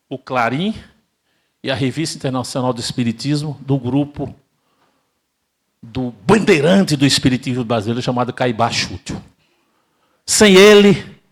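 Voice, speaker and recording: An older man from Brazil giving an emphatic spiritist lecture, asking rhetorical questions and swinging between loud and hushed delivery. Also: medium pitch. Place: spiritist center hall, live audience.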